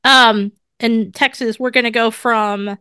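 A woman's voice: on the high side, fairly even intonation, slow, sharp tone, clear, resonant tone, no room sound, studio quality. Congested, chatty woman co-host. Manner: chatty and opinionated, leading the talk. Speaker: podcast co-host. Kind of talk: casual chat.

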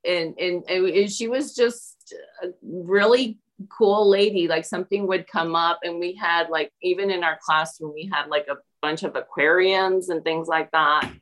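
A woman's voice: lowish voice, steady tone, a little slow, fairly bright tone, low-quality recording. A woman from Peru speaking as a teacher being interviewed, answering in a conversational, reflective way with analogies and anecdotes.

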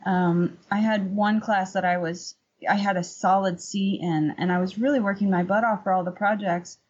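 A middle-aged woman with a husky voice, talking candidly and informally as an interview guest, rambling a little. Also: mid-range voice, fairly even intonation, moderate pace, a little mellow, muffled recording. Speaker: painter, podcast guest. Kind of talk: casual interview chat.

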